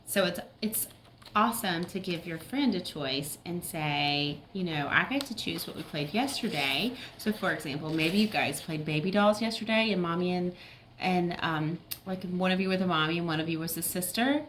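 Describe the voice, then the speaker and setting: A woman's voice: on the low side, moderate pace, a bit bright, a little hiss or hum. A woman teacher reading a picture book aloud to young children, with simple explanations and check-in questions.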